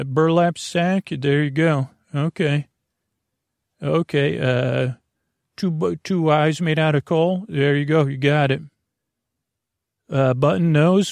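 A man with a creaky, gravelly voice hosting a bedtime podcast, rambling in a halting, meandering way and drifting off into tangents. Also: fairly high pitch, a little mellow, no room sound. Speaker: podcast host.

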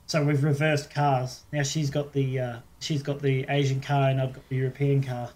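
A man's voice: mid-range voice, little pitch movement, moderate pace, darkish tone. An Australian man in casual podcast conversation, explaining things chattily and often repeating his words as he goes. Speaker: podcast co-host. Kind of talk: casual chat.

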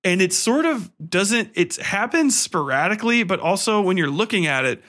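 A man's voice: sits high, very animated, moderate pace, a bit bright. A man hosting a podcast in a casual, rambling film-review rant, profane, exasperated and mocking.

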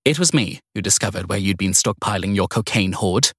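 A man voicing a bold, steely woman detective in an audiobook, speaking in a defiant, pointed, deliberate way. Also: sits low, lively intonation, a bit bright, breathy edge, dry recording, studio quality, heavily acted. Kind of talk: audiobook character dialogue.

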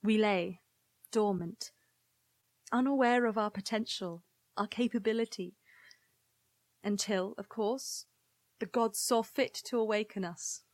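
A woman's voice: mid-range voice, a little slow, pauses often, no echo, natural voice. A woman acting as a dutiful historian of a besieged nation, giving a grave, formal, eloquent account in an audio drama. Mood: reverent.

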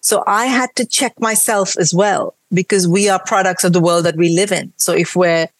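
A woman's voice: lowish voice, fairly even intonation, normal speed, dry recording. A woman from India with a mixed Indian and British accent, speaking earnestly and reflectively as a guest in a podcast conversation. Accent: Indian, from Mumbai, Bangalore and Kerala, mixed with British.

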